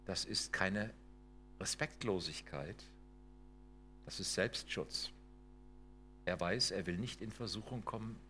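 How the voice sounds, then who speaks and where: Emphatic lecturing man with a low voice. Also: fairly bright tone, slight background noise. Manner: emphatic, rhetorical questions, tag questions like "ne?" and "ja?". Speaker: lecturer on intercultural work. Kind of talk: lecture with slides.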